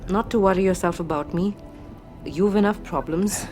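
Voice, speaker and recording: A woman's voice: mid-range voice, normal speed, a little mellow, faint music behind, close to an everyday voice. A woman playing an eccentric, cryptic fortune-telling witch in an audio drama, speaking in inverted phrases. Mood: grave, apologetic. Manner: speaks of herself in third person with inverted phrasing. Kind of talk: audio drama character acting.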